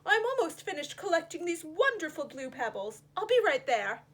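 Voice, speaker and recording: A woman's voice: extremely high pitch, sing-song, moderate pace, a bit bright, slight background noise, heavily acted. A woman voicing a young male rainbow fish in a storybook, earnest, polite and childlike. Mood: carefree.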